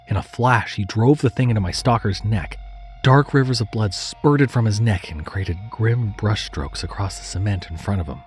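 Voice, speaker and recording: A male narrator tells a horror story in a low voice. His delivery is dramatic and scripted, and music plays clearly behind the words. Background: background music.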